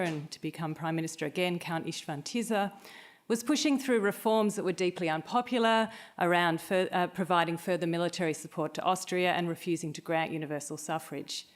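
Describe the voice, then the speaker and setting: A woman with a slightly low voice speaks as an academic at a conference. She delivers a prepared talk in a narrative style, like someone telling a story to colleagues. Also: brisk.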